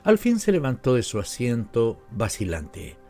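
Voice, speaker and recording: Mellow-voiced Latin American man narrating a story. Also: medium pitch, wildly swooping pitch, unhurried. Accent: Latin American Spanish. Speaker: audiobook narrator. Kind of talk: scripted literary narration. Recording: music behind the voice. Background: background music.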